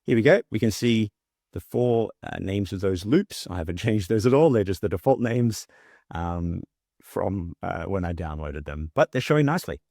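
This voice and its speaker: A man with a low-pitched voice presents a step-by-step software walkthrough. He talks informally, thinking aloud as he demonstrates each step. The voice-over is close and dry, with very little room sound. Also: animated, moderate pace, vocal fry, dry recording. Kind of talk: casual screen-share walkthrough.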